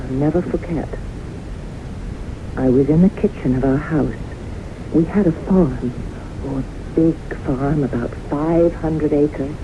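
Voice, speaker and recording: An actress in an old-time radio drama playing a haunted widow who tells her story in a dramatic, deliberate, earnest way. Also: low-pitched. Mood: reminiscing. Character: haunted widow solemnly recounting her brushes with death. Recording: dull, hissy old radio broadcast.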